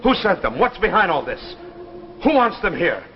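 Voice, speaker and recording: A stubborn American man with a slightly high voice. He is intense and emphatic in the manner of 1950s TV drama. The old soundtrack is dull with faint noise. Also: sing-song, normal speed. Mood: fervent. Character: stubborn, driven man hunting for proof of saucers. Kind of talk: 1950s television drama acting.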